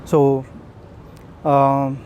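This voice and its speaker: A man's voice: medium pitch, completely flat delivery, drawn-out words, darkish tone, slight background noise. Hesitant Indian man, street interview. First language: Urdu. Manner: hesitant, many fillers.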